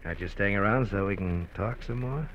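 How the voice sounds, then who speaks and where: An actor playing a smoothly menacing man who stalks his prey with mock politeness, in 1940s radio style. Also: on the low side, fairly quick, fairly bright tone, lightly acted. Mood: mock-polite menace. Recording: dull, noisy vintage radio recording.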